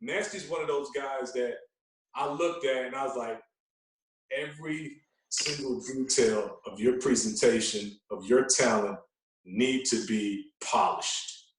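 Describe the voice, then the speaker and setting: A man talking casually as a podcast guest, telling stories in an animated way and repeating phrases for emphasis. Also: mid-range voice, unhurried. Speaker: guest, veteran radio personality. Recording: echoey room, distant from mic.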